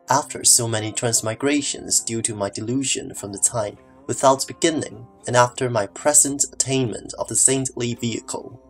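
A man voices an earnest male disciple who respectfully questions his master. His voice sits at a middling pitch, in a scripted scripture drama with music underneath. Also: breathy edge. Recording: background music behind the voice.

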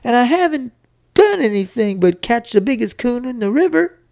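A narrator voicing an excited, earnest young farm boy in a storybook, with stammering exclamations. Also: mid-range voice, moderate pace, creaky, no room sound, studio quality, voice pushed up. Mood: tearful, hurt.

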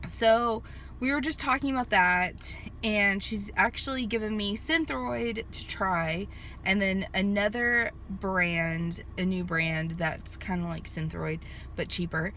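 A woman's voice: medium pitch, fairly even intonation, takes it slowly, sharp tone, slight background noise. A woman in her thirties chatting to a phone camera from a parked car, rambling with lots of fillers and restarts. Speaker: vlogger. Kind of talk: casual chat to camera. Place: parked car in a sunny lot. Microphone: handheld phone at arm's length.

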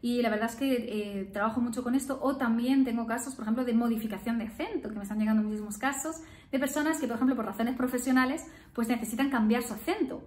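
A woman's voice: fairly high pitch, fast talker, hardly any pauses, darkish tone, reverberant. A woman in her thirties, a speech therapist, talking casually to camera with many fillers and tag questions. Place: room with painted geometric wall, indoors. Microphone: camera in front, upper body framing.

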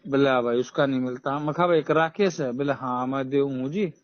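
A man's voice: medium pitch, a little fast, no echo. A man with a Haryanvi accent speaking as an official in a casual, insistent phone conversation, talking in roundabout hints. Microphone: phone call recording. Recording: dull lo-fi recorded phone call.

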